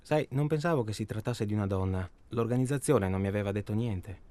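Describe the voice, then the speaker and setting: An actor playing a self-absorbed, stalling hunter in a naturalistic radio drama, rambling and irritable. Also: male voice, lowish voice, fairly quick, mellow, lightly acted. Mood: hesitant, self-justifying. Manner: hesitant, rambling, irritable, colloquial. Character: self-absorbed, stalling male tourist hunting human prey.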